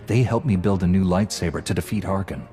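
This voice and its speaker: A man as a lowish, resolute Jedi commander. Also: brisk, mellow, lightly acted. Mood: earnest explaining. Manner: earnest, resolute, authoritative. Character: firm, heroic male Jedi commander. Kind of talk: dramatic video game voice acting. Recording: music behind the voice.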